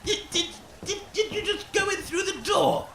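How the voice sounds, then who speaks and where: An actor voicing a pompous, grumbling, wine-loving little creature who co-hosts a radio show, blustering and stammering melodramatically in an audio comedy. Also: male voice, very high-pitched, moderate pace, pushed and bright, roomy sound, full character voice. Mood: exasperated. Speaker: radio co-host.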